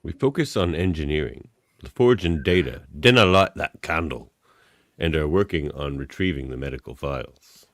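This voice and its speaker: A man's voice: very deep voice, lively intonation, normal speed, a bit bright. A man narrating scene directions for a fan radio play, with joking asides.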